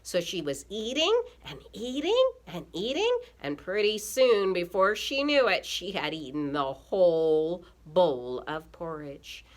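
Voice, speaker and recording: Animated woman telling a children's story. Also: fairly low pitch, measured pace, few pauses. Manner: expressive, simple repetitive phrasing for young children. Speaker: preschool teacher and storyteller.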